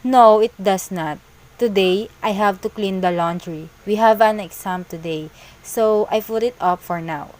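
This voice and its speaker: A woman's voice: medium pitch, unhurried, a little mellow, natural voice. A young woman voicing a weary, self-doubting working student in an amateur cartoon, with a plain read-aloud delivery. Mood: tired, polite. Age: young adult. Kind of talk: amateur animated cartoon dialogue.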